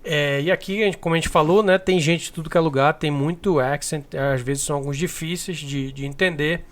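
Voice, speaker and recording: A man co-hosting a podcast, chatting in a relaxed way with lots of filler words. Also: on the high side, fairly quick. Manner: relaxed, fills with é and né. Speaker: podcast co-host. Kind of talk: casual chat.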